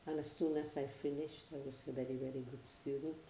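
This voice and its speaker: An older speaker with a Chilean Spanish accent speaks in a measured, reflective way, often pausing and restarting phrases. The tone is mellow and mid-pitched. The recording sounds roomy, with a faint hiss underneath. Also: flat delivery, gravelly. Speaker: interviewee, former academic and researcher. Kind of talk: personal testimony.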